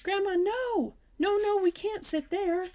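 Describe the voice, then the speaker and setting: An excitable kindergarten girl squeals her demands in a shrill, breathless rush, as a woman reads her. Her voice jumps very high and loud, bouncing all over. She sounds bossy and desperate at once. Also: fast, close to an everyday voice. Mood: shrieking. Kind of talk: audiobook character voice.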